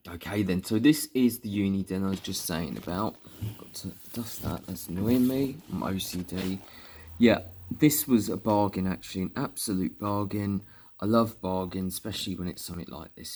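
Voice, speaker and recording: Low-pitched, chatty southern English man. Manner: chatty, informal British slang. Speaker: CB radio hobbyist vlogger. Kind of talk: casual off-the-cuff chat.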